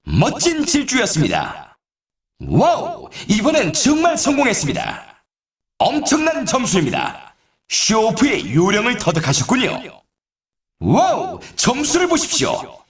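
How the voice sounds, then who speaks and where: A man's voice: very high voice, wildly swooping pitch, unhurried, full character voice. A man playing an upbeat, showy sports announcer in a video game, with polished, scripted commentary delivery. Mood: impressed. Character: male snowboard race announcer, upbeat and showy. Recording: echoey, distant-sounding game audio.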